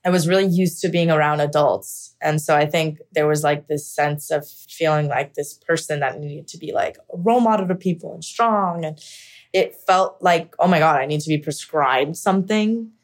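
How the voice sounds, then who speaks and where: A woman's voice: low-pitched, normal speed. A young American woman from Pennsylvania telling a personal story candidly and conversationally, with frequent filler words like "like" and "you know". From Pennsylvania, United States. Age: young adult. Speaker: podcast guest, actor.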